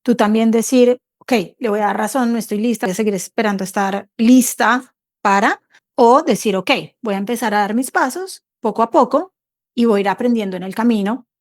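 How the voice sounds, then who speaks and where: A woman with a somewhat high voice hosts an intimate podcast chat, reflective and encouraging. She often speaks inner self-talk aloud as quoted lines, keeping a warm conversational flow. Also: a little fast. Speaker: podcast host.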